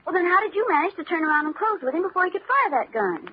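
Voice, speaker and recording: A muffled vintage radio recording of a detective's wry, curious sidekick. Her voice is high and very animated, with a chatty old-serial delivery. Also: fast. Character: inquisitive, wry female investigator's partner. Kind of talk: old-time radio detective drama acting. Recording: dull lo-fi old radio, faint noise.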